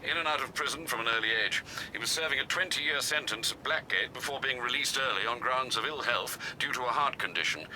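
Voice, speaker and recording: Older butler on comms, dry n formal, really sharp bright voice, bit of noise. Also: male voice, mid-range voice, fairly quick, rough recording. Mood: dry, informative. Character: older male English butler, dry and dutiful. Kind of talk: dramatic video game acting.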